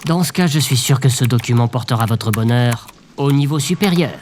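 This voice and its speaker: A man playing a glum, officious government bureaucrat in a comic audio drama, deadpan and pedantic. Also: mid-range voice, moderate pace, lightly acted. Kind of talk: audio drama comedy acting. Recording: faint background noise.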